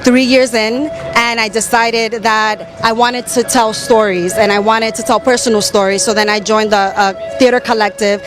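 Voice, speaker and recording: A woman with a somewhat high voice speaks as a guest in an interview. She gives personal testimony in a candid way, often halting to find the right word. She is from New York City. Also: steady tone, music in the background, low-quality recording. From born in the Caribbean, raised in New York City. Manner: candid, halting, searching for words. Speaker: interview guest, survivor advocate and theater storyteller.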